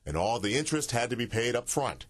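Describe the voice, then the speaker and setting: A man narrating a TV news report in a steady, measured way. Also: mid-range voice.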